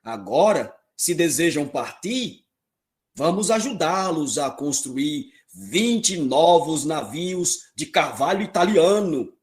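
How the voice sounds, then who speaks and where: A man playing a stately, conciliatory king who addresses his council in a measured, formal way in an epic audio drama. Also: fairly high pitch, animated.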